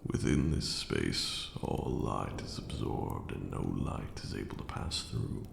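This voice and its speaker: A man voicing an ancient, brooding vampire lord who mentors with dark grandeur and remorse in a hushed, formal ASMR roleplay monologue. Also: extremely low pitch, expressive, echoey room, long reverb tail, a little hiss or hum, exaggerated voice. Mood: quietly proud. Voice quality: gravelly, breathy drops into near-whisper. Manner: slow, deliberate, hushed, formal archaic phrasing.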